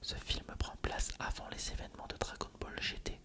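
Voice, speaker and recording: An ASMR narrator reads an encyclopedia-style article entirely in an unvoiced whisper. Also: normal speed.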